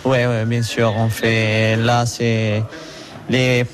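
A man with an Italian accent speaks in a flat, even voice. He answers questions in short, hesitant phrases while music plays clearly behind him. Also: medium pitch, extremely slow. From Italy. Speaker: jockey.

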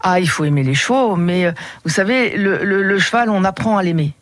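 A middle-aged woman from the Paris region answering radio interview questions in a measured way, with frequent hesitations. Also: medium pitch, expressive, dry recording. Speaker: head of a regional riding committee, radio guest.

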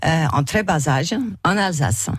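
A woman in her sixties from Flemish Belgium, speaking French with a Flemish accent as a second language, chatting as a radio guest and searching for words with many fillers and pauses. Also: sits low, no room sound, clean studio sound. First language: Dutch. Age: 60s. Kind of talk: radio interview chat.

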